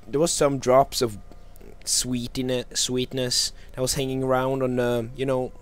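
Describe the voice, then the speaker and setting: A young man telling anecdotes in casual chat, halting and jokey. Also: mid-range voice, level tone, clear, resonant tone. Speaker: co-host of a casual gaming video.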